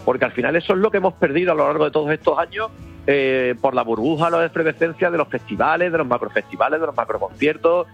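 A man's voice: on the high side, expressive, quick pace, gravelly, slightly rough, highs cut off, faint music behind. A man, a festival organizer talking enthusiastically in a casual radio interview, rambling with many restarts.

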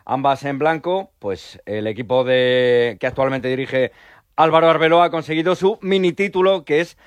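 Guy doing the sports bit on radio, lively, keeps talking over people, dry close sound. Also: medium pitch, normal speed, smooth, dry recording. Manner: lively, talks on through interruptions. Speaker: sports reporter. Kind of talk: radio sports segment, casual chat.

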